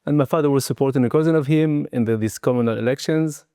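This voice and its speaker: A man's voice: mid-range voice, fast talker, dark tone, clear, resonant tone, no room sound, clean studio sound. A man in his sixties from Tunisia, a non-native speaker, talking reflectively as an interview guest in hesitant, broken phrasing. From southern Tunisia. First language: not English. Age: 60s. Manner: hesitant, broken phrasing in non-native English, frequent "you know" and "eh" tags. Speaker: guest, martial arts and Qigong teacher. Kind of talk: reflective personal interview talk.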